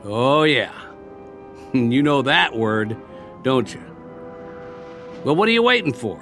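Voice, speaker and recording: An actor playing a weary, wry American ex-soldier, plain-spoken and coaxing, in a radio drama. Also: male voice, medium pitch, very animated, takes it slowly, fairly bright tone, faint music behind, full character voice. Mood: wry, firm. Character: weary, wry male ex-soldier surviving after war.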